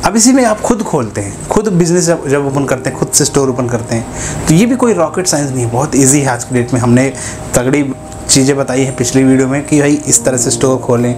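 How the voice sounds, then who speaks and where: A man's voice: mid-range voice, expressive, fairly quick, noisy background. A young man talking casually to camera as a business advice YouTuber, often asking his viewers "right?" between points, recorded on a lapel mic indoors. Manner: conversational, keeps asking "है ना", gestures with both hands. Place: indoors, grocery-store backdrop.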